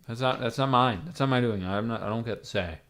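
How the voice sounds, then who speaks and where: A raspy-voiced man livestreaming from his computer, rambling casually to his chat, swearing and talking to himself as he types. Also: mid-range voice, quick pace, fairly bright tone. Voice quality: raspy, gravelly. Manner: rambling, swearing, talks to chat while typing.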